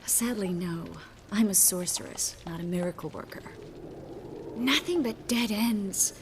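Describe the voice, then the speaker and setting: A woman playing a composed, worldly sorceress in a video game, with a measured, dry, wry delivery. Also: mid-range voice, close to an everyday voice. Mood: dry, thoughtful. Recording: faint ambient noise behind.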